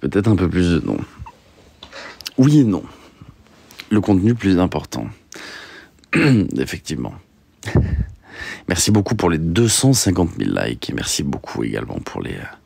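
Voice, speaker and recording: Deep creaky young man chatting. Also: a little mellow. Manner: halting, repeats phrases, many fillers. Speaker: streamer reading and answering chat. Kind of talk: casual livestream chat.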